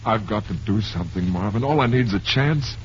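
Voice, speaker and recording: An actor playing a desperate down-and-out performer in an old-time radio drama, pleading earnestly and haltingly. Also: male voice, on the low side, lively intonation, natural voice. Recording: muffled, hissy old radio recording.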